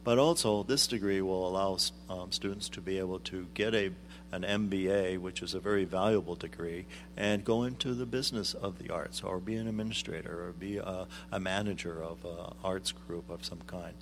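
A middle-aged man, a guest on a radio interview, explaining things in a measured way with frequent ums. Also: low voice, steady tone, slight background noise. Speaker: guest, arts executive director.

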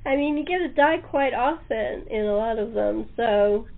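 A woman's voice: fairly high pitch, unhurried, creaky. A woman hosting a chat show, talking casually with her guest. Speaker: host of a chat show, producer of radio plays. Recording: thin telephone-like sound.

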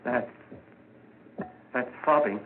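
Scratchy old-radio recording of an actor as a panicky murderer. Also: male voice, mid-range voice, narrow, tinny audio, natural voice. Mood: nervous panic. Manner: melodramatic, halting, stammering. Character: guilt-ridden, panicky murderer hiding his crime. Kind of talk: 1940s radio horror drama acting.

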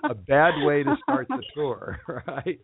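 A man hosting a radio show, reading a sponsor spot and interviewing guests, often pausing and restarting with fillers. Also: on the high side, huge pitch swings, vocal fry, music in the background. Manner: halting, many fillers and restarts. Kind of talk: live radio interview and ad read. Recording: dry, phone-like lo-fi sound.

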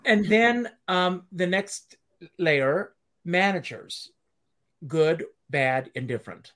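Host: relaxed man, conversational questions, frequent affirming asides. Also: sits high, slow pace. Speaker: talk show host. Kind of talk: casual interview chat.

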